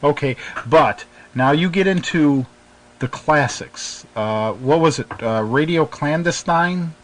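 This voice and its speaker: Higher-pitched male radio host. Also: lively intonation, takes it slowly, slight background noise, poor audio. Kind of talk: casual interview chat.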